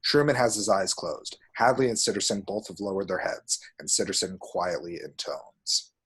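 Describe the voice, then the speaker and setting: A man's voice: deep voice, animated, moderate pace, fairly bright tone, slightly rough. A man reading scripted screenplay action descriptions as the narrator of a table read. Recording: home recording.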